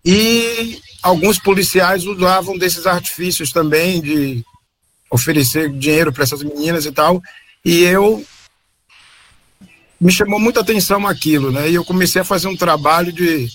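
A man's voice: high voice, normal speed, fairly bright tone, a little hiss or hum, rough recording. A middle-aged man from Salvador, telling his story as a guest on a video call from home, rambling and tagging sentences with "né?". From Salvador, Bahia, Brazil. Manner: rambling, frequent "né?" tags and self-corrections. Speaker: interview guest. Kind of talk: casual storytelling interview. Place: home room.

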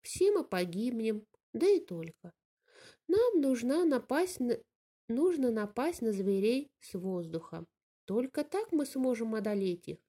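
A woman's voice: a little high, very animated, measured pace, mellow. A woman voicing a shrewd female bird in a fairy tale, making an assertive case to the flock. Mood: resolute. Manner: assertive, reasoning speech to a gathering. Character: shrewd female bird urging fellow birds on.

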